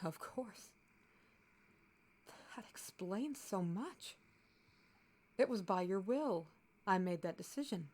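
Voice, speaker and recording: A woman's voice: mid-range voice, lively intonation, slow pace, long pauses, darkish tone, slight background noise. A woman playing a stern, commanding captain of the royal guard in a fantasy audio drama, speaking bluntly and with measure. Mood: dry, sceptical. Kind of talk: scripted fantasy audio roleplay.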